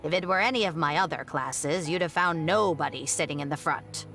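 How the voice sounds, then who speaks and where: An older woman scholar in a video game. Her voice sits very low for a woman. She speaks in measured, reflective phrases with a composed, wry edge, over faint music. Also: a bit bright. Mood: fond, reflective. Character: elderly woman scholar, former teacher, composed and reminiscing. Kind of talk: scripted video game dialogue.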